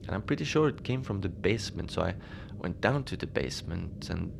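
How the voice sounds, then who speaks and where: A man playing a lonely, unbothered polar station keeper, telling strange tales in a halting, understated, conversational way for a fiction podcast. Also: sits low, gravelly, exaggerated voice. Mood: puzzled, matter-of-fact. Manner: halting, conversational, understated storytelling with small hesitations. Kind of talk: cozy horror audio fiction monologue. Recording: steady noise behind the voice.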